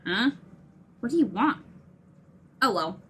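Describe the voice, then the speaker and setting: A woman's voice: a little high, animated, slow pace, noisy background. A woman playing a plucky, breezy young circus girl who chatters resourcefully in a family audio drama. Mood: breezy, curious. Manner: chirpy, breezy, self-talking. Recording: faint music under the voice.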